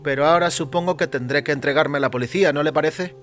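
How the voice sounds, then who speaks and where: A man performs a timid, aggrieved little man in an audio story. He speaks in a raised pitch, politely but nervously and hesitantly. Soft music plays underneath. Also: fast, natural voice. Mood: hesitant, uneasy. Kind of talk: audio fiction story character.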